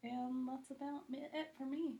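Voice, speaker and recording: A woman's voice: high voice, level tone, moderate pace, gravelly, warm tone, dull audio. Emphatic, informal woman chatting as guest. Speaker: TV critic, podcast guest. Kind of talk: casual chat.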